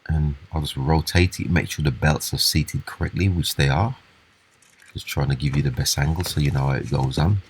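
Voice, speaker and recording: A man with a husky voice giving a casual, unscripted, step-by-step walkthrough of hands-on repair work. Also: a bass voice, moderate pace, slight background noise. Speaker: repair demonstrator.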